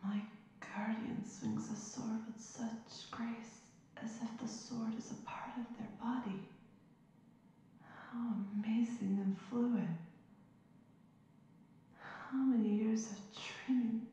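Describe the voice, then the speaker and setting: A stubborn, insecure young princess, acted by a woman, speaks slowly and softly in an ASMR roleplay. Her voice is fairly high and mellow, with hesitant, earnest phrasing. Some noise sits behind the recording. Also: steady tone, low-quality recording. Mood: wistful, whispering. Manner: soft, hesitant, breathy asides.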